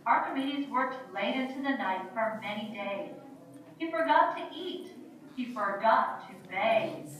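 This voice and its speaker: A woman's voice: on the high side, expressive, a little slow, a bit bright. A woman narrator reading a scripted story for a children's puppet show. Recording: very echoey room, distant mic, faint noise.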